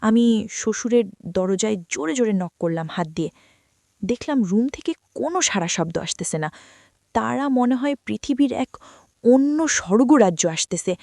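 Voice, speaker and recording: Woman narrator, confiding storyteller who speaks to listeners as friends; animated, higher-pitched, dry recording. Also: normal speed, vocal fry, no room sound. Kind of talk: first-person story narration.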